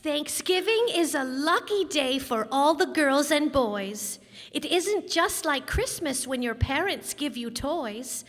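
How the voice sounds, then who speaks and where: A woman's voice: sits high, expressive, takes it slowly, a bit bright, heavily acted. A woman playing an earnest, dutiful nine-year-old girl in an old-time radio sitcom, with a singsong, literal-minded way of speaking. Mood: earnest reciting. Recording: echoey hall, live stage performance.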